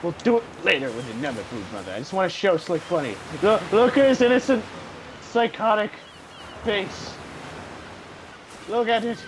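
Animated young man hosting a game. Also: high voice, normal speed, pauses often. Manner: animated, swearing, laughing. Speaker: server host running game commands. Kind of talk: casual gaming chat. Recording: noisy game audio.

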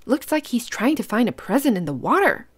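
A woman's voice: a little high, big pitch swings, brisk, breathy edge. A woman hosting a children's read-aloud, using simple instructive phrasing and putting questions to young listeners. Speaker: host reading picture books to children.

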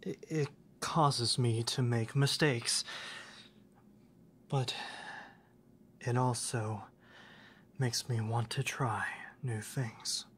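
An actor playing a reserved, lovesick young classmate in an ASMR roleplay, speaking in a soft, hesitant, formal way, often near a whisper. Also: mid-range voice, fairly even intonation, very slow, pauses often, a little hiss or hum. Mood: wistful, restrained.

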